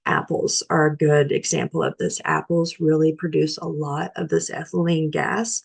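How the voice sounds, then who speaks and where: Nutrition educator, young woman, low and gravelly, hoarse from a cold; easygoing teaching manner. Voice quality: raspy. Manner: relaxed, practical, with frequent "um" fillers. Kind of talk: informal library talk on cooking.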